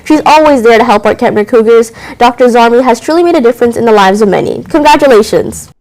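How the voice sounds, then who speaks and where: A teenage girl anchoring a school news show, reading announcements briskly with occasional stumbles. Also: on the high side, expressive, darkish tone, low-quality recording. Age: teens.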